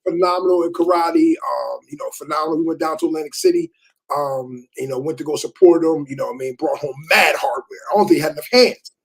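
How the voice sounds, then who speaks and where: Husky, high-voiced man chatting casually. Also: fast, poor audio. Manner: laid-back, repeats phrases, many fillers. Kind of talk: casual chat.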